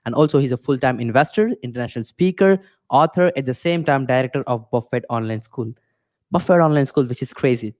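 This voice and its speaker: A man's voice: medium pitch, a little mellow. A man, hosting a podcast and hyping his guest, piling on words like amazing and crazy. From India. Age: 20s. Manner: hype-driven, repeats "amazing" and "crazy". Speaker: podcast host. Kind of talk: podcast interview.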